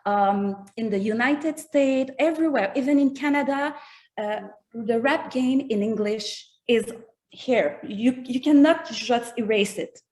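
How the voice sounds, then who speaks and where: Slow-talking woman with a French accent. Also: fairly high pitch, a little mellow. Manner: thoughtful, searching for words in a second language. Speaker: musician guest. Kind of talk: casual interview chat.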